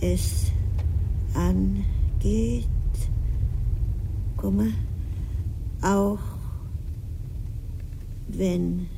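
An actress playing an eccentric, imperious woman in a radio drama, speaking deliberately and with dry command. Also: mid-range voice, steady tone, vocal fry, mellow, a little hiss or hum. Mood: solemn, dictating. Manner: slow, deliberate dictation and dry, commanding talk. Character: eccentric, imperious woman planning her own funeral. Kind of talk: German radio drama acting.

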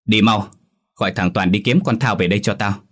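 A man's voice: low-pitched, expressive, normal speed, a bit bright. A man voicing a hot-tempered older father-in-law in a story, curt, commanding and scolding. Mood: furious.

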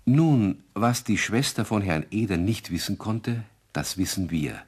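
A genial male narrator tells a children's radio tale in a deep voice. He addresses the young listeners playfully, with expressive, widely varied intonation. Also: measured pace, breathy edge. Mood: confiding. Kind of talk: storytelling for children in a radio play.

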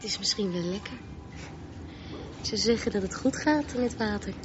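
A woman's voice: mid-range voice, lively intonation, moderate pace, music in the background, natural voice. An actress playing a hesitant, affectionate teenage girl in a radio drama, often searching for words. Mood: dreamy, unsure. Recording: dull, noisy radio play recording.

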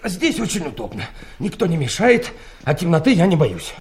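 Male boss, audio drama: high, swooping voice, pushy yet hesitant official. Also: big pitch swings, moderate pace, creaky. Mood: awkward, insistent. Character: district prosecutor awkwardly pushing an older subordinate to retire. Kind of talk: audio play acting.

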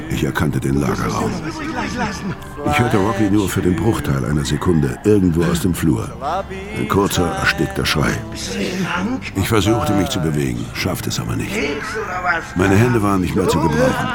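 Very deep-voiced, hard-bitten hitman. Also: lively intonation, normal speed. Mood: grim, pained. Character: weary, hard-bitten male hitman recounting his brutal past. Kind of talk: radio crime drama, first-person narration and dialogue. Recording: music behind the voice.

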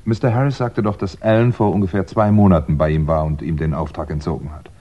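An actor playing a calm crime writer turned amateur sleuth, reasoning deliberately in a 1960s German radio crime drama. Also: male voice, low voice. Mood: pensive. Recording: dull, muffled 1960s radio recording.

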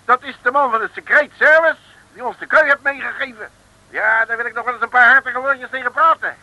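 Streetwise grumbling detective, slangy, forceful high voice, animated, vintage radio comedy-thriller. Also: male voice, fairly quick, dry recording, heavily acted, rough recording. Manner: slangy, blustering. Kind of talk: 1960s radio serial acting. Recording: old radio recording.

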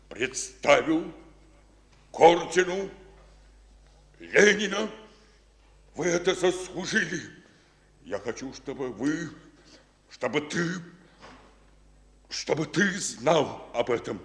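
Gruff fatherly Soviet general, husky, reverberant hall, halting emotional delivery, war radio drama. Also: medium pitch, fairly bright tone, heavily acted. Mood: deeply moved. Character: Soviet general, gruff but fatherly, moved by his soldier. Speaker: actor in a radio play.

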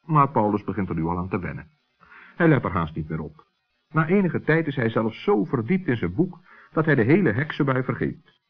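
A man's voice: medium pitch, sing-song, brisk, slightly rough. A man narrating a scripted children's story as the narrator of an old radio play. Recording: muffled old radio recording, faint music.

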